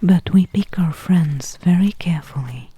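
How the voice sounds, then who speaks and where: Woman performing as female elven ranger, fantasy ASMR, breathy whisper, lowish, very slow, thoughtful, gentle, intimate. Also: no room sound. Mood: soft, thoughtful. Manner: slow, hushed, intimate, unhurried.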